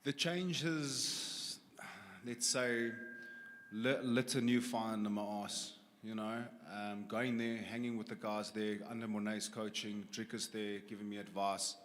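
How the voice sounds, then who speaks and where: Self-assured fighter, early forties, lowish voice, echoey press hall. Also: male voice, fairly even intonation, a little slow, fairly bright tone, slight background noise. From South Africa. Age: 40s. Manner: confident, plain-spoken. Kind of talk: press conference answers. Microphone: handheld microphone. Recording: long hall reverb.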